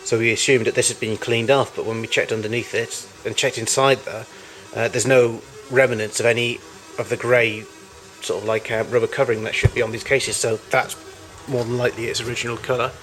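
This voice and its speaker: A man giving an informal, unscripted show-and-tell as a collector of old machines, in a halting, rambling way with frequent self-corrections. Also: mid-range voice, little pitch movement, fairly bright tone, faint music behind, rough recording. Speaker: collector showing vintage tape recorders.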